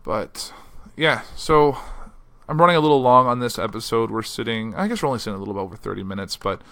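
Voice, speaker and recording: A man runs his own news podcast from home. He talks quickly with a bright, forward tone, and his voice sounds a little worn and hoarse. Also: mid-range voice. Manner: reads quotes in a flat newsy voice, then chats loosely with fillers. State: losing his voice. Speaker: podcast host. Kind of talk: solo news podcast, reading articles aloud. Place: house.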